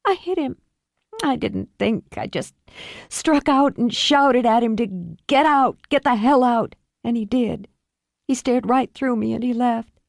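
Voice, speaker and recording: A woman voicing a distraught young mother who pleads through tears, her voice breaking high. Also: expressive, measured pace, creaky, no echo, clean studio sound. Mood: broken. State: tearful.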